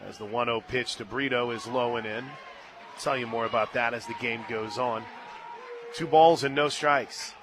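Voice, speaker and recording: A man calling a live softball game on radio, play by play, brisk and rising to excited shouts on big plays. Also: medium pitch, noisy background. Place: stadium radio booth.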